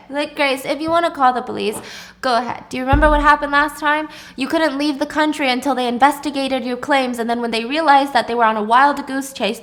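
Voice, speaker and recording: A woman voicing a manipulative husband who talks softly, with weary, condescending patience. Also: sits high. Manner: soft, placating, sighing, condescending.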